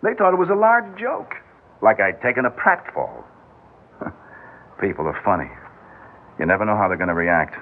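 An actor playing a harried, caring husband on edge, coaxing and wry, in old radio drama style. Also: male voice, fairly low pitch, big pitch swings, normal speed, pauses often, a little hiss or hum. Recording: tinny, band-limited old radio recording.

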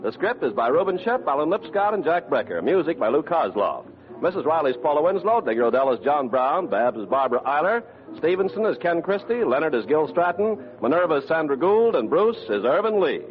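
A man's voice: on the high side, very animated, a little fast. A man reading scripted announcements as a 1940s radio announcer. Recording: dull, narrow old radio broadcast.

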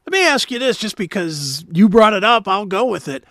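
A man's voice: high-pitched, very animated, a little fast, bright, forceful tone, dry recording. A man hosting a talk show, asking questions in a casual, conversational style.

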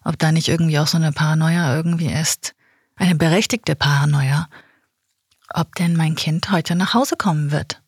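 A woman podcast host narrating in a calm, matter-of-fact way and chatting with a colleague. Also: low-pitched, moderate pace, slightly rough, no echo, clean studio sound.

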